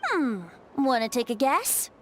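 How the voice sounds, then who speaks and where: Playful high-voiced boyish bard. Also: female voice, big pitch swings, measured pace, loud music behind the voice. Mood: teasing. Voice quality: light, youthful. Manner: theatrical, teasing, lilting. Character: playful boyish young male bard, wind spirit. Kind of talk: video game voice acting.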